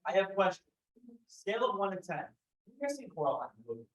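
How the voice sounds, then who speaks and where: A man's voice: high voice, very animated, fast, a bit bright. A young man at a student club meeting, joking around and swearing casually. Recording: echoey room.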